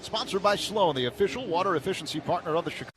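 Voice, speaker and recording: A man's voice: high-pitched, lively intonation. Man calling baseball on radio. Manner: measured, rising with the action. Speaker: play-by-play announcer. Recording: broadcast with clear background noise.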